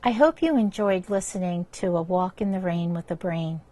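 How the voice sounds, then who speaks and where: A woman's voice: lowish voice, animated, moderate pace, dark tone. A woman giving a scripted educational narration addressed to young listeners.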